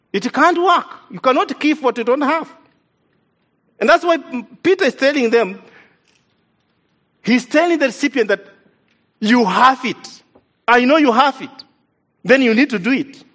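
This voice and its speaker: A man's voice: very high voice, pushed and bright. A man from East Africa, whose first language is not English, preaching a sermon in an earnest, emphatic way and repeating key lines for stress.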